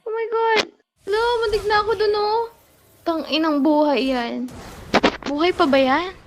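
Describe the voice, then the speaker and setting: A woman's voice: very high-pitched, a little slow, noisy background. A Filipino woman chatting casually while gaming, playful and exclamatory, slipping into English.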